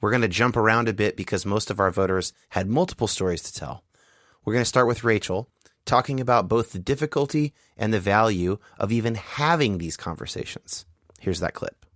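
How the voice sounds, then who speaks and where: A man's voice: fairly low pitch, fairly bright tone, dry recording, clean studio sound. A man hosting a podcast, talking earnestly and carefully as he introduces the episode and interviews a guest. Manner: earnest, measured, explanatory. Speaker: podcast host.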